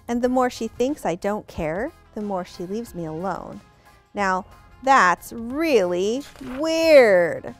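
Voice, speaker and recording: A middle-aged woman hosting a bedtime read-aloud, speaking deliberately and expressively as she would to young children. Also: medium pitch. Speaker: host reading bedtime stories to children. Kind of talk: picture-book read-aloud and simple explanation for children. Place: living-room style set, indoors.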